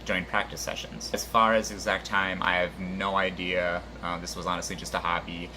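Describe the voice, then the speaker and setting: Deep-voiced man rambling casually. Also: flat delivery, background noise. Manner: rambling, filler-heavy, unhurried. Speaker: channel host. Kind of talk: casual vlog introduction.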